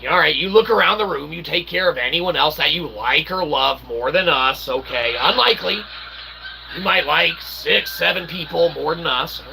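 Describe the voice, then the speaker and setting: A man's voice: a little high, moderate pace, creaky, a crowd in the background, low-quality recording. A man giving a rambling informal monologue in mock-formal, wordy phrasing, often checking in with a short questioning tag. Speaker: channel host and self-published author.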